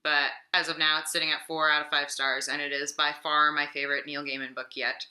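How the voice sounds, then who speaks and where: Chatty young woman, low voice, bright tone. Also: flat delivery, brisk. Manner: chatty, rambling, filler-heavy. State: slightly sick voice. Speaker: book vlogger. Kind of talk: casual weekly book talk. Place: home room. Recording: echoey room.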